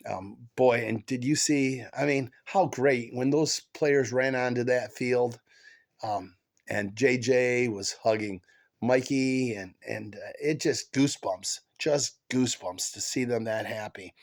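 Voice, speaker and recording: A man with a head cold hosts a casual sports chat, his voice stuffy and nasal. He talks in a gravelly, creaky tone, stopping and restarting often. His delivery is halting and full of fillers. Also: mid-range voice. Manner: halting, many fillers and restarts, excited fan. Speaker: podcast host.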